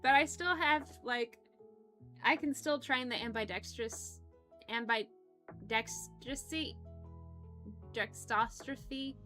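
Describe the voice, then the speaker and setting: A woman's voice: on the high side, takes it slowly, long pauses, bright, forceful tone, faint music behind. A young woman streaming, chatting casually with her viewers in a rambling, giggly way that often trips over words. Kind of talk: casual chat with live chat.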